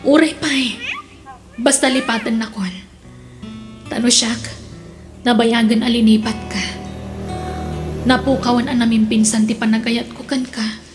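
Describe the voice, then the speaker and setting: A woman's voice: a little high, fairly even intonation, measured pace, long pauses, close to an everyday voice. A woman with an Ilocano accent acting in a radio drama, playing a spurned, resentful woman who stands firm. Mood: hurt, bitter. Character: spurned woman, hurt, resentful, firmly refusing reconciliation. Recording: radio drama with music underneath.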